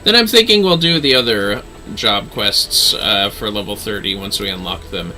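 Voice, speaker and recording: A man's voice: lowish voice, animated, normal speed, sharp tone, soft background music, poor audio. A man casually chatting as he streams a fantasy online game, musing playfully to himself. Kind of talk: casual gameplay livestream chat.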